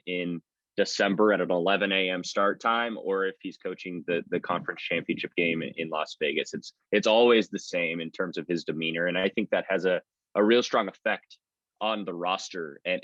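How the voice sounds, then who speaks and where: A man's voice: sits low, a bit bright, no echo, lo-fi sound. A man speaking as a guest sports announcer in a conversational interview, giving earnest, wordy answers. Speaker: sports radio play-by-play announcer, podcast guest.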